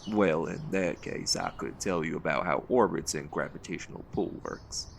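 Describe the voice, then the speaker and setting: A man playing a seasoned, folksy leader of a hunt in an audio roleplay, gravelly and reassuring, with a fond teasing streak. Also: low-pitched, normal speed, slight background noise. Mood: warm, reflective.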